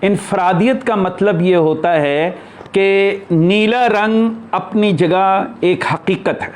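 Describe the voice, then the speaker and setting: A man's voice: high voice, slow pace. A man giving an unscripted spiritual discourse as a teacher, explaining in short, broken phrases.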